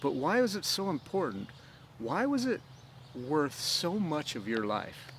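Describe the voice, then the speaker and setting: A man voicing an incredulous older swordmaker, a gruffly fond mentor questioning his pupil in a storybook reading. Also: a little high, sing-song, unhurried, a bit bright, a little hiss or hum, exaggerated voice. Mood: concerned. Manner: disbelieving, questioning.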